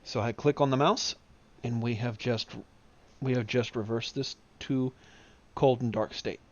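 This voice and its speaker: A man giving an informal software demonstration, explaining things step by step. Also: mid-range voice, fairly even intonation, a little slow, highs cut off. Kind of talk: casual software tutorial. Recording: clear background noise of simulator ambience. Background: flight simulator background sounds.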